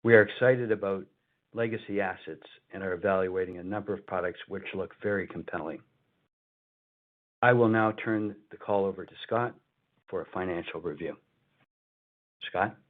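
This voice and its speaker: A male executive with a low voice delivers a formal financial update over a band-limited conference line. He reads from prepared remarks, sometimes halting and repeating a word before he carries on. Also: phone-quality sound. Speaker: company executive. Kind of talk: scripted earnings call presentation.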